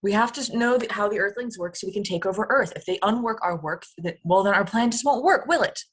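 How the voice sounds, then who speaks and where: Alien commander played by a woman: husky voice, businesslike, short on patience, scheming, script read-through. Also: medium pitch, fast, natural voice. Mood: urgent, bossy. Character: exasperated scheming female alien leader studying captured humans.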